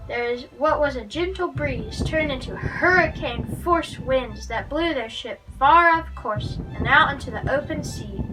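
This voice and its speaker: A woman reading a Bible story aloud for children as a guest narrator. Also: sits high. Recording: distant microphone, music underneath.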